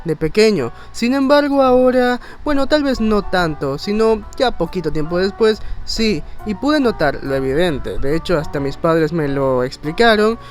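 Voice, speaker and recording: A man narrating an informal countdown video in a wordy, explanatory, conversational way. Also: sits high. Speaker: video narrator, content creator.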